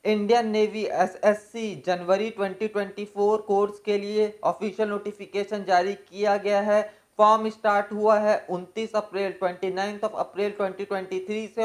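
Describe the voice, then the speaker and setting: A woman hosting an informational explainer for viewers, going through details methodically point by point and mixing in many English terms. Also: medium pitch. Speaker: host of an exam and job information channel.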